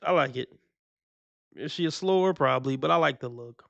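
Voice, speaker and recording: A man's voice: medium pitch, fairly quick, vocal fry, a bit bright, no room sound, dull audio. A man hosting a stream, reacting to clips in slangy, casual talk, laughing and repeating his points for emphasis.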